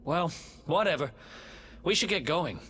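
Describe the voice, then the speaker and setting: Cocky young thief, high voice with a lively swing, dry sarcastic quips. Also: male voice, measured pace, breathy edge, full character voice. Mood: wry. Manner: quippy, sarcastic asides. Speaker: game character. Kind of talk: video game cutscene voice acting.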